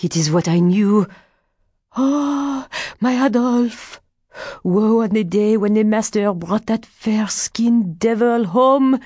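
A woman's voice: a little high, takes it slowly, warm tone, dry recording, clean studio sound, voice pushed up. A woman narrator plays a fierce peasant woman from a gothic storybook. She is jealous and desperate, crying out in a raised, agitated voice. Her delivery is intense and exclamatory. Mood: bitter anguish. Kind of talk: audiobook character dialogue.

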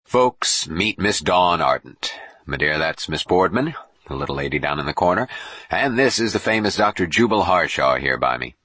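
A man voicing a brash, jovial bishop in an audiobook, a folksy, booming hard-sell showman. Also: lively intonation, normal speed, bright, forceful tone, breathy edge, dry recording, full character voice. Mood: jovial, boastful. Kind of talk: audiobook character voice.

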